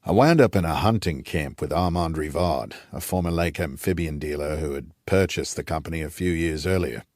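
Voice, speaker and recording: A man voicing an aging, gruff Rhodesian patriarch, a wry and nostalgic old scout, in an audiobook. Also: a bass voice, a little fast, few pauses, a little mellow, no room sound, studio quality. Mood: wry reminiscing. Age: older.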